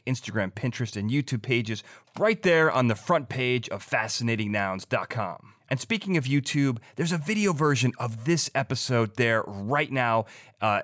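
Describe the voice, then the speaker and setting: Man, podcast host, average pitch, chatty, effusive, plugging his show. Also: a bit bright. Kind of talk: chatty interview and sign-off plugs.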